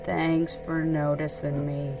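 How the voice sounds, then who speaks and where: A woman voicing a glum, self-deprecating storybook donkey in a hesitant, low-energy way. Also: little pitch movement, slow pace, very noisy.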